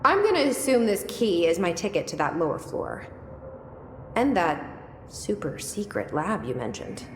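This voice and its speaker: An actress playing a young agency director in a video game, terse and probing, with an understated, dry delivery. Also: female voice, fairly low pitch, big pitch swings, normal speed. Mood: wry, curious. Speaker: game protagonist. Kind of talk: video game dialogue acting. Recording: echoey hall reverb with noisy game ambience.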